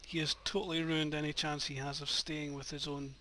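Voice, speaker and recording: A man's voice: on the high side, level tone, a little fast, bright, forceful tone, slight background noise. A man giving casual gameplay commentary, informally thinking aloud as he plays. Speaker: gamer and video host.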